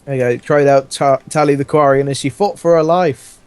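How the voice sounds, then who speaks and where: A man with a slightly high voice reads fan fiction aloud as host. He is amused and giggly, slipping between narration and casual banter and often cracking up as he goes. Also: steady tone, fairly quick, a little mellow, smooth, no room sound. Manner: giggly, often breaking into laughter while reading.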